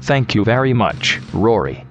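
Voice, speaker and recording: A man's voice: lowish voice, big pitch swings, unhurried, muffled recording, faint music behind. A man hosting a show in a scripted but conversational style. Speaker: show host.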